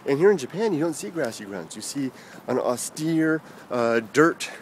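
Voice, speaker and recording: A man's voice: medium pitch, very animated, slight background noise. Rambling American man vlogging outdoors. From United States. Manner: halting, many fillers and restarts, chuckles. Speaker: vlogger answering viewer comments. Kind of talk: rambling casual vlog chat.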